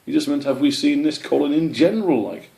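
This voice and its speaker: A man's voice: a little high, lively intonation, fairly quick, vocal fry, warm tone, pitched above the performer's own voice. A man voicing an argumentative, nitpicking male hedgehog in a children's storybook. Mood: bickering, exasperated. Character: bickering, pedantic, literal-minded male hedgehog. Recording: roomy, echoey sound.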